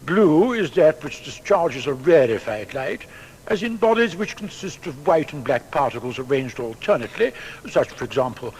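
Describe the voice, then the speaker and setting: A pedantic seventeenth-century scholar in an audio drama, with a creaky, fairly high voice. He speaks hesitantly and stammers over his definitions, on a recording with faint noise. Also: very animated, normal speed, darkish tone, low-quality recording. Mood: pedantic, declaiming. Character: Isaac Barrow, hesitant male 17th-century scholar and mentor. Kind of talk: dramatised audio biography, quoted scholarly writing.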